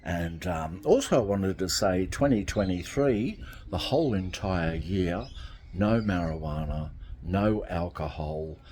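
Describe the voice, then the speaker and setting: A man's voice: low voice, darkish tone, a little hiss or hum. A man, talking casually to camera from outdoors by a garden, rambling and repeating himself. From Australia. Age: 60s. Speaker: vlogger.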